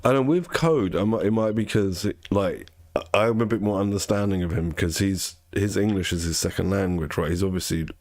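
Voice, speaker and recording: A man's voice: deep voice, brisk, darkish tone, no echo. A man streaming a game, talking in a casual, rambling way with a nasal voice and frequent restarts. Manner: rambling, self-correcting, chatty. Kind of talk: casual chat while gaming.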